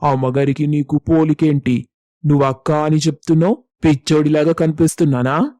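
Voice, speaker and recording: A man's voice: a little high, steady tone, brisk, warm tone, lightly acted. A man acts out a rude male gatekeeper in a dramatised moral story. He questions people brusquely, with open scorn and mockery. The sound is dry and close, with no room echo. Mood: scornful, suspicious. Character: rude, scornful male watchman at a rich house gate.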